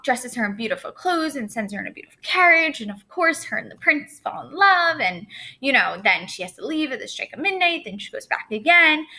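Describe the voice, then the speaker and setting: Young woman teacher talking students through a lesson, bouncy upbeat voice, kinda high and clear. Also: bright, forceful tone. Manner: upbeat, explaining step by step to students. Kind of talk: recorded classroom lesson.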